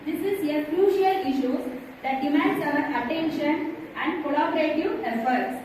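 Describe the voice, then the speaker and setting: A woman's voice: high voice, darkish tone. A woman with an Indian English accent formally reading a welcome address at a school conference. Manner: formal, reading a speech aloud. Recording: very echoey hall, from far off, faint noise.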